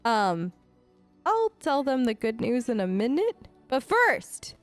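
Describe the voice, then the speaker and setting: A woman's voice: on the high side, sing-song, slow, no room sound, soft background music. A woman improvising casual, breezy, lightly wry role-play dialogue for a game let's play. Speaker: gamer voicing her player character.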